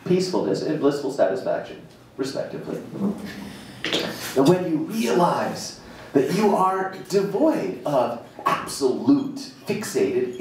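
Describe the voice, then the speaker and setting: A man performs a spoken-word monologue in a very reverberant live venue, picked up from a distance with some noise. His delivery is slow and theatrical, with emphatic pauses and a playful edge. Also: a little high, a little mellow. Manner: emphatic dramatic pauses, playful asides to audience. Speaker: reader performing a written monologue. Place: indoor jazz club stage, live event. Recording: very echoey live venue, distant mic, noisy.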